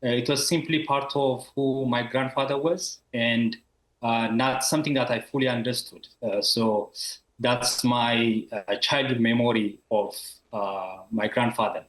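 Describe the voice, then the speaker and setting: A man's voice: medium pitch, steady tone, unhurried, fairly bright tone. Hesitant man talking over an echoey call. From Ethiopia. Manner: hesitant, many fillers and restarts. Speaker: university professor, guest. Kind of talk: video call interview. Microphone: video call.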